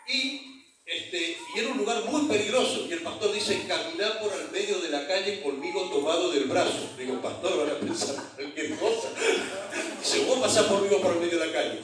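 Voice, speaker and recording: A man's voice: high voice, a bit bright, far from the mic. A man from San Juan with an Argentine accent, preaching a sermon as pastor, telling stories and calling out to the congregation. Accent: Argentine Spanish. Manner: storytelling with call-and-response to the congregation. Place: church hall. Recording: very echoey hall, voice from afar.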